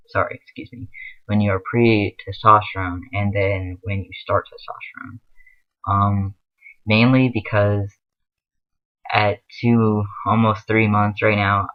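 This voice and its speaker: A man's voice: on the low side, phone-quality sound. A young man giving earnest, rambling advice in a casual vlog, with many fillers. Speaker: vlogger.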